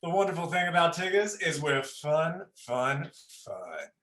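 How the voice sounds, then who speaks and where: A man's voice: on the high side, big pitch swings, a little slow, a bit bright, echoey room. A man voicing a cocky, lewd, bouncy tiger in an adult comedic play reading, brash and teasing. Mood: boastful, lewd. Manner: brash and teasing, laughs at own jokes.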